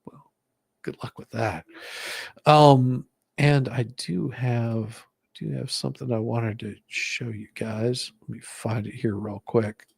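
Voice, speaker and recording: A man's voice: mid-range voice, steady tone, slow, gravelly, darkish tone, husky, no echo. A man chatting casually on a livestream in a rambling, hesitant way as the host.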